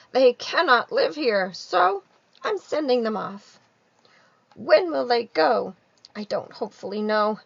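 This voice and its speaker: Storybook creature, male, old and indignant, played by a woman: raised croaky voice, querulous, scolding, emphatic delivery. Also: on the high side, lively intonation, no room sound, muffled recording, voice pushed up, heavily acted. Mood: sorrowful, pleading. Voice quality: croaky, coughing. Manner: scolding, emphatic, drawn-out complaints.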